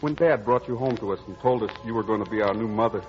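A man's voice: on the low side, quick pace, close to an everyday voice. An actor playing a breezy, impatient young man in old-time radio drama, boyish and slangy. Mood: probing, reflective. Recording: muffled old radio recording, music behind.

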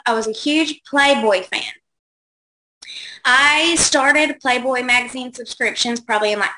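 A woman in her thirties answers interview questions in a loose, chatty way, sprinkling in ums and likes. Her voice is bright and forward. A faint hiss sits under it on a home call mic. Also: medium pitch, poor audio. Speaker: guest.

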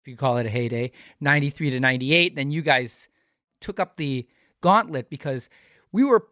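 A man's voice: medium pitch, normal speed, pushed and bright, no echo, studio quality. An American man chatting casually as a podcast co-host and setting up questions for a guest. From United States, Chicago area. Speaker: podcast co-host, former band musician. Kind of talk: casual interview chat.

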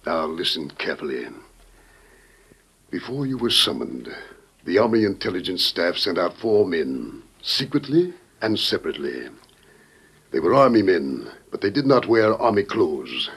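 An actor playing a grave, dignified president with solemn, weighty oratory in an old-time radio drama. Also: male voice, sits low, big pitch swings, moderate pace, vocal fry, fairly bright tone, husky, heavily acted. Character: grave, dignified American president briefing a secret agent.

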